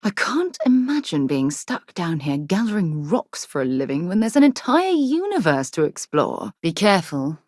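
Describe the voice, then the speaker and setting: An actress playing a principled, dryly witty space explorer companion in a video game, speaking in an articulate, earnest, measured way. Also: female voice, on the low side, sing-song, a little mellow, no room sound, clean studio sound. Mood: dry, pointed.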